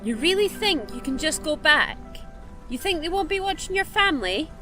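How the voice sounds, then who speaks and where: Street-wise kid for audio drama, played by a woman: high pitch, very expressive swings, challenging and stubborn, faint music behind. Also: moderate pace, full character voice. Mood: pressing, earnest. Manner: blunt, challenging. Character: defiant, insistent street-wise young girl.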